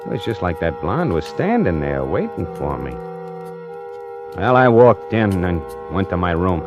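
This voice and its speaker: Streetwise, restless salesman narrator, low voice with big swings, 1940s radio suspense, music behind. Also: big pitch swings, measured pace. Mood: irritated, haunted. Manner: streetwise, colloquial, dramatic. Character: slangy, restless, hard-up male necktie salesman narrating his troubles. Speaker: lead actor and narrator of a radio suspense play.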